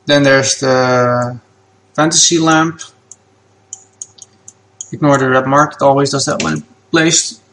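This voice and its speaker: A man whose first language is Dutch, talking through a software tutorial at his computer in a relaxed, plain step-by-step way. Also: medium pitch, takes it slowly, long pauses. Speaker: game asset creator.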